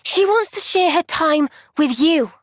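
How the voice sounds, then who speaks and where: A young woman voicing a gentle, caring female soldier in a video game, speaking softly and earnestly with occasional sighs. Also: very high voice, animated, a little slow, no room sound, clean studio sound. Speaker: voice actor. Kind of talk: video game cutscene dialogue.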